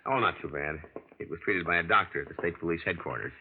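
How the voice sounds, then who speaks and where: A man's voice: low voice, fast, slight background noise. An actor playing a tough, streetwise young man who narrates his own scheme in a 1940s radio drama. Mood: subdued. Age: young adult. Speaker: drifter and narrator. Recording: muffled vintage radio recording with music.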